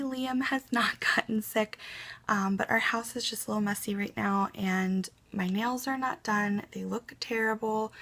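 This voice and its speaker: A young woman chatting casually to camera in her bedroom about a beauty haul, a little hoarse from a cold, with lots of ums and likes. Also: a little high. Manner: chatty, many ums and likes, soft asides. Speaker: beauty vlogger. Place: bedroom at home.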